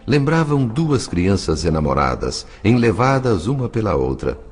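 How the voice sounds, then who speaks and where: A low-voiced man reads scripted narration with dramatic, lively intonation. His delivery is measured and literary, with faint music behind him. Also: slightly rough.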